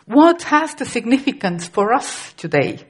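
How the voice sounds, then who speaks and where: A woman's voice: on the high side, very animated, a little slow, breathy edge. A middle-aged woman from Estonia, a native Estonian speaker with an Estonian accent, preaching a sermon carefully and with occasional restarts.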